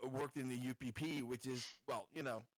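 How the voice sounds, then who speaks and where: A man playing a tabletop game, improvising his character's thoughts in a halting, blunt, casual way. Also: medium pitch, fairly even intonation, dry recording.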